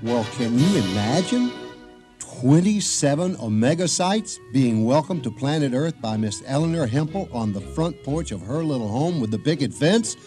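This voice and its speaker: An actor playing a chatty, incredulous narrator in a comic radio drama. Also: male voice, mid-range voice, lively intonation, normal speed, over music, lightly acted. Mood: amused disbelief. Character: incredulous, chatty male storyteller recapping absurd events.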